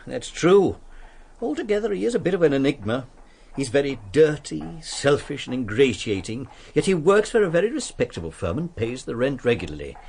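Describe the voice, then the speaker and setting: Earnest english vicar in a radio play, polite n fussy, bit husky, voice moves around a lot. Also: male voice, mid-range voice, sing-song, normal speed, a little mellow, exaggerated voice. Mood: confiding, disapproving. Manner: polite, hesitant, precise and formal. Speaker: actor in a radio drama. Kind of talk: radio drama dialogue.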